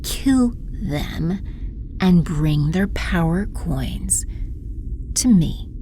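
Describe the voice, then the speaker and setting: A woman playing a slow, gloating evil empress. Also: fairly low pitch, lively intonation, mellow, a little hiss or hum. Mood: menacing. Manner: imperious, breathy menacing delivery. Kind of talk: audio drama villain acting.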